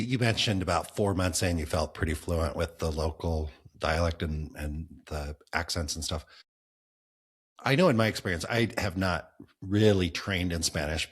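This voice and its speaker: A middle-aged man hosting a travel podcast, relaxed and self-deprecating, laughing easily as he chats. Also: low voice, husky. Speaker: podcast host. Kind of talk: casual interview conversation.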